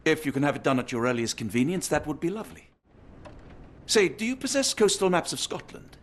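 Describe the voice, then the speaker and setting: A man's voice: on the high side, big pitch swings, brisk, a bit bright, a little hiss or hum. A man with a British accent playing an earnest, formal doctor and loyal companion in a video game. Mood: polite. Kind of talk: scripted video game cutscene acting.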